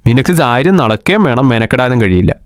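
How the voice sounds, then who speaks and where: A man's voice: mid-range voice, big pitch swings, quick pace, a little hiss or hum, close to an everyday voice. A young man from Kerala voicing a bossy, scheming cartoon friend who lectures the others.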